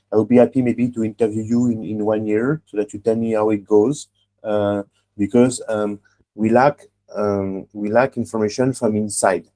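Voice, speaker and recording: A man's voice: fairly low pitch, mellow. A man whose first language is German hosts an online webinar as an admissions coach. He talks emphatically and often checks in with "huh?" and "okay?". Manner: emphatic advisory tone, tag questions like "huh?" and "okay?". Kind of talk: online webinar talk with a student guest.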